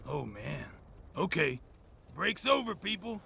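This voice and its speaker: A man's voice: on the high side, very animated, slow pace, exaggerated voice. An actor playing a scruffy, laid-back road crewman in a video game. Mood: reluctant. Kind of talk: video game cutscene acting. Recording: game cutscene with clear background noise.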